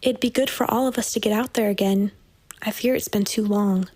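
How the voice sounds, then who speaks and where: A woman's voice: medium pitch, steady tone, fairly quick, a little mellow, natural voice. An actress playing a sly, unbothered teenage witch with a morbid curiosity, teasing in formal period audio drama style. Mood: eager, brisk.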